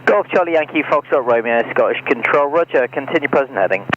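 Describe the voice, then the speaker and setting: A man's voice: mid-range voice, expressive, fast talker, narrow, tinny audio. A man working as an air traffic controller, giving clipped instructions over aircraft radio. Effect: aviation radio.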